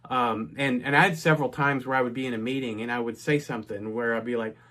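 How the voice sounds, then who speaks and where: A man's voice: medium pitch, steady tone, fast talker, pushed and bright. A middle-aged man from North Texas, casually telling a personal story in a chatty way, with frequent restarts and asides.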